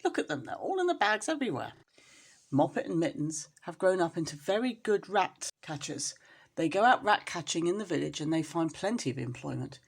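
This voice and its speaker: A woman's voice: on the low side, very animated, a little fast, creaky, husky. A woman storyteller reading a children's storybook expressively, as if to a young listener.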